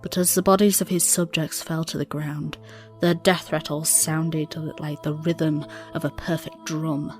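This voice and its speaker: A woman tells a story in a somewhat low voice. Her delivery is slow, solemn and dramatic, every phrase measured. Soft instrumental music plays behind her. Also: level tone. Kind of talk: dramatic scripted story narration.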